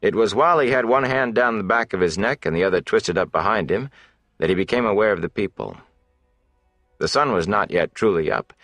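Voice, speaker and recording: A man's voice: low voice, a bit bright, no room sound. A man narrating a fantasy novel as a scripted audiobook reading.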